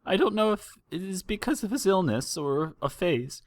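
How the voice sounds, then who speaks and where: A man voicing a distraught mother pony in a fan fiction audiobook, halting and breathy as the character pleads for their child through sobs. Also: sits high, expressive, no room sound, studio quality, pitched above the performer's own voice. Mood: shaken, tearful. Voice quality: breathy, trembling. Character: distraught, tearful mother pony pleading for her missing son.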